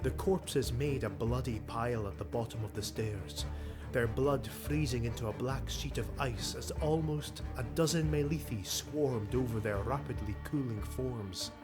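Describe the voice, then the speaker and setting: Dramatic male fantasy narrator over loud music. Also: mid-range voice, measured pace. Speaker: audiobook narrator.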